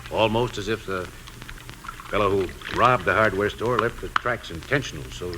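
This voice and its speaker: An American actor playing a troubled, folksy Western sheriff in a 1950s radio drama. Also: male voice, fairly low pitch, moderate pace, natural voice. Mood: uneasy. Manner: folksy, emphatic. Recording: noisy 1950s radio broadcast.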